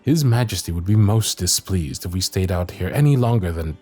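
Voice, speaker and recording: Soft, breathy, low-voiced gallant young knight, acted by a man. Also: animated, moderate pace, faint music behind. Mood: protective, dutiful. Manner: formal, courtly, tender, hesitant phrasing. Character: gentle, dutiful young male royal guard knight courting a princess. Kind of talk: romantic fantasy ASMR audio roleplay.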